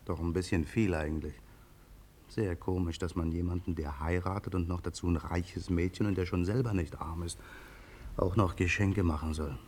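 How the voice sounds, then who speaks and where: Wry, low-voiced painter Robert, played by a man. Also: steady tone, normal speed, darkish tone, slight background noise, natural voice. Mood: musing. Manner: musing, colloquial. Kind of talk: radio play acting.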